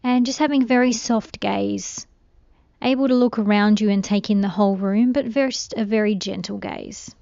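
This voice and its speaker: Female meditation guide, gentle and soothing, with noticeable vocal fry, recorded very dry. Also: mid-range voice, no echo, muffled recording. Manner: gentle, soothing, unhurried instruction.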